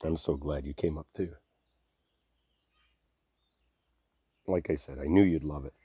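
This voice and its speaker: A man playing a deep-voiced, soft-spoken cozy boyfriend. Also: slightly rough, no room sound. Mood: fond, teasing. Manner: soft, drowsy, intimate, unhurried. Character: affectionate, easygoing boyfriend on a trailer getaway. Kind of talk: boyfriend ASMR roleplay.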